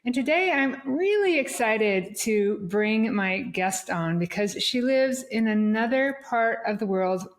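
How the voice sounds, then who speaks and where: A woman's voice: a little high, animated, measured pace, hardly any pauses. A woman hosting a podcast, talking in a chatty way and often repeating words as she looks for the right one. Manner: chatty, repeats words while searching for them. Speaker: podcast host.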